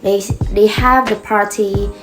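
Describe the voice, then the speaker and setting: A young woman from Vietnam with a Vietnamese accent, talking casually to her vlog audience, soft and unhurried, with many fillers and restarts. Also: medium pitch, steady tone, music in the background. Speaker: vlogger.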